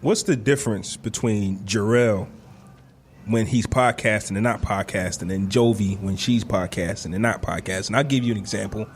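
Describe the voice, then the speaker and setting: A man in his thirties hosting a podcast interview, animated and slangy, laughing easily. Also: lowish voice, normal speed, a little hiss or hum. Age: 30s. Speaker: podcast host. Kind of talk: casual interview chat.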